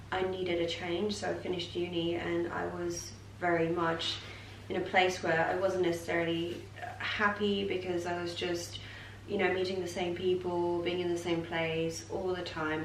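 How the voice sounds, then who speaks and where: A young woman from Poland, talking fluently and openly in a casual vlog chat. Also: fairly low pitch. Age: young adult. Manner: fluent, chatty, open. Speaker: vlogger. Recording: echoey room, mic set far off, faint noise.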